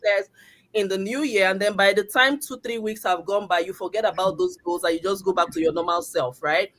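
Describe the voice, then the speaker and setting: A woman's voice: mid-range voice, steady tone, brisk, a bit bright. A woman hosting a live video call, talking in an upbeat, motivational way. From Canada. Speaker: host. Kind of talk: live stream group chat.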